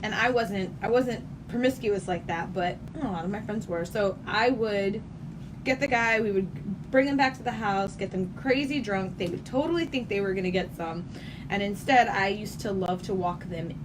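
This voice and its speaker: A woman's voice: medium pitch, normal speed. A woman co-hosting a radio show, telling stories in casual chat. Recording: noisy room ambience.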